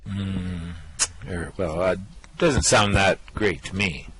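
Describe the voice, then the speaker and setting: A man playing a dim, bullying alien guard in a comic sci-fi radio play, plodding and hesitant between shouted stock phrases. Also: low-pitched, fairly even intonation, slow pace, slightly rough, heavily acted. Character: dim, bullying male alien guard, bored yet dutiful.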